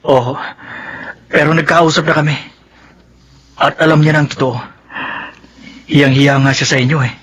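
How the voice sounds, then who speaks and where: A man's voice: on the high side, fairly even intonation, moderate pace, long pauses, a bit bright, slightly rough. A man playing a respectful, ashamed young son in a Filipino radio drama. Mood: apologetic. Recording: slightly dull radio drama audio.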